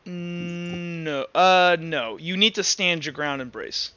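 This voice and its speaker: A man with a high, bright voice acts as game master at a casual tabletop session. He explains and instructs as he referees. The recording is slightly dull and muffled. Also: slow, smooth.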